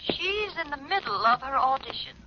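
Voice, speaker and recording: High swooping female voice, brassy scheming dame, mannered, theatrical, 1940s radio play. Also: sing-song, normal speed. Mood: haughty, formal. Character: brassy, scheming female blackmailer with showy airs. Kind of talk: 1940s radio drama acting. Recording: dull old radio recording, hiss.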